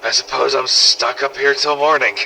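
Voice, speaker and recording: A man's voice: medium pitch, fairly even intonation, moderate pace, background noise, close to an everyday voice. A man playing a wry, weary apocalypse survivor who narrates an audio diary in an exasperated, sweary way. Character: sardonic, weary male survivor runner in a zombie apocalypse. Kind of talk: in-character audio diary narration, fan-made game audio logs.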